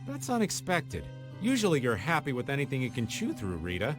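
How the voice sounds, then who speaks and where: A man's voice: medium pitch, wildly swooping pitch, a little fast. A man voicing a wry, laid-back middle-aged rogue with a teasing, flippant manner in a video game. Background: background music.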